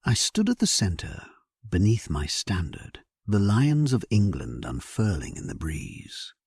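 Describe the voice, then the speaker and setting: A man playing a solemn, resolute English warrior king, narrating his battle in a measured, stately way for an audio drama. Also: low voice, a little mellow, breathy edge, dry recording, clean studio sound, full character voice. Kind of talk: dramatic scripted first-person narration, sleep story.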